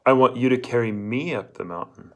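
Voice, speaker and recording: A man's voice: medium pitch, fairly quick, a bit bright, lightly acted. A man voicing a blunt old woman fortune-teller in a storybook, bossy and emphatic as she hands out scorn and instructions. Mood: instructing. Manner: emphatic, instructing, asks rhetorical questions.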